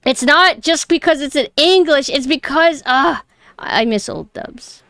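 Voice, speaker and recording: A young woman with a high voice, chatting casually and snarkily on a stream, her close mic clipping on loud peaks. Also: a little slow, no room sound. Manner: chatty, rambling, mockingly snarky. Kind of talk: casual reaction stream chat. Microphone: close mic that clips loud peaks.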